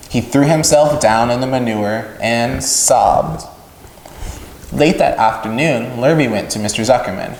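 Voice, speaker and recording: A man reading a storybook aloud to children in an expressive narrating style. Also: medium pitch, slight background noise. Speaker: children's story-time reader. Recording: echoey room with long reverb.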